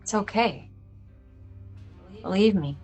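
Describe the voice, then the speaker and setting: A woman's voice: mid-range voice, slow pace, darkish tone, husky, soft background music. A woman playing a tough, blunt, foul-mouthed cop wounded by her past, in a film script read-through. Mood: pained, earnest. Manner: earnest, blunt, swearing.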